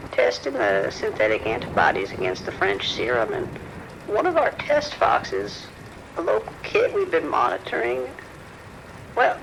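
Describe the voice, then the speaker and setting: A man's voice: very high-pitched, vocal fry, a bit bright, a little hiss or hum, exaggerated voice, rough recording. A man playing a worried, apologetic scientist in an audio drama, speaking carefully and formally with hesitations. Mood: anxious, apologetic. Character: apologetic, worried research scientist reporting trouble, male. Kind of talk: audio drama phone dialogue.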